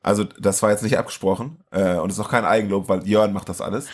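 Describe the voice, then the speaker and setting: A man's voice: fairly low pitch, fairly even intonation, quick pace. A man co-hosting a film podcast in a casual, chatty style. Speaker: podcast co-host.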